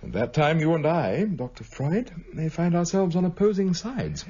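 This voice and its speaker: An actor playing a cerebral, grave British gentleman detective in a radio drama, speaking formally and articulately. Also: a little high, lively intonation, moderate pace, breathy edge, highs cut off. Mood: grave, reflective.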